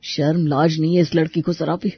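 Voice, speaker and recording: A storyteller voicing an angry, controlling young husband with a harsh, accusing manner. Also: male voice, on the high side, flat delivery, normal speed, husky. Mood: seething. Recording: dull lo-fi recording.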